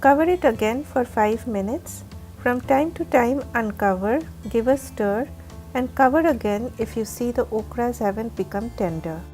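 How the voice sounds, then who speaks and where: A woman with a raspy, heavy voice from a flu, giving careful step-by-step cooking instructions as the host of a recipe channel. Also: a little high, lively intonation, moderate pace, a little mellow, faint music behind. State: hoarse from a flu. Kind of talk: recipe voice-over narration.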